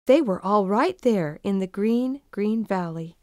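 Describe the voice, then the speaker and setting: Lively, unhurried woman reading a storybook. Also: medium pitch, no echo, studio quality. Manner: expressive, deliberate storytelling. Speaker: narrator. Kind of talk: children's storybook narration.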